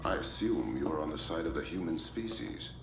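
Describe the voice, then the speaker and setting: A man voicing a calm, clinical doctor who questions a prisoner with measured, hesitant phrasing in a video game cutscene. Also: very deep voice. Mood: clinical, wary. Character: calm, probing male doctor interrogating a prisoner. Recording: roomy echo with music behind.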